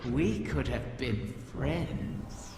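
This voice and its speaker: A woman's voice: a bass voice, very animated, drawn-out words, creaky, very noisy, heavily acted. An actress playing an ancient female wish-dragon in a video game, wistful and sardonic, with languid, theatrical phrasing. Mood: bitter, wry. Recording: echoing long reverb, loud background ambience.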